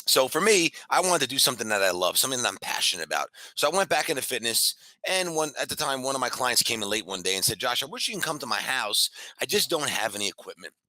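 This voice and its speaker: A high-energy man speaking as a business founder being interviewed, in an emphatic, boastful motivational style. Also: big pitch swings, fast, few pauses, sharp tone, no echo, poor audio. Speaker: fitness franchise founder and CEO, guest.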